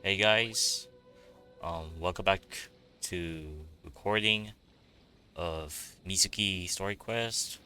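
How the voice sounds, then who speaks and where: Low voiced guy streamer, slow n hesitant casual game commentary, crisp bright sound. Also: faint music behind. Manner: hesitant, halting, self-deprecating. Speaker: gaming streamer.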